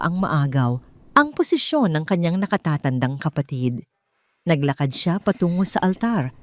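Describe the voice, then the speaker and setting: Woman, Filipino accent, lower-pitched, very animated intonation, dramatic scripted storytelling. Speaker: story narrator.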